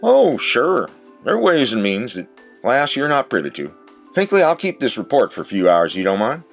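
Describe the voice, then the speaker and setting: An actor playing a wry, sceptical know-it-all in a satirical audio drama, dry and self-assured. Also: male voice, mid-range voice, huge pitch swings, moderate pace, vocal fry, soft background music. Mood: smug.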